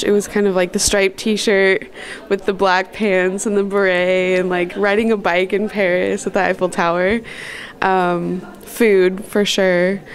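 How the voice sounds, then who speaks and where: A young woman student chatting casually in an interview, full of "like". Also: medium pitch. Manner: giggly, casual, "like".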